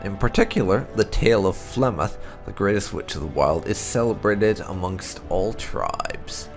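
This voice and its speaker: A man reading fantasy game lore aloud as scripted narration, in a measured, storybook-like delivery. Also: fairly low pitch, soft background music.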